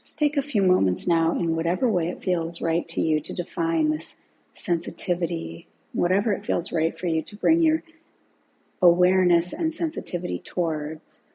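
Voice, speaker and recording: A woman with a mellow, lowish voice guides a meditation gently and without hurry. There is a noticeable creak in her tone. The recording is somewhat muffled, with faint noise underneath. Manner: gentle, unhurried with long pauses. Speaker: meditation and yoga teacher. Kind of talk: guided relaxation instruction.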